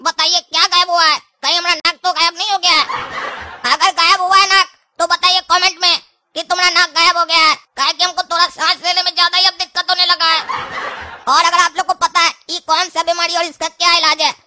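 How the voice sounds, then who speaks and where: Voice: very high-pitched, flat delivery, a little fast, pushed and bright, slight background noise, heavily acted. A performer voicing a bossy, bickering young male cartoon pumpkin, an older brother who talks in colloquial scolding Hindi. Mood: anxious, pleading. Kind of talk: comic cartoon dialogue.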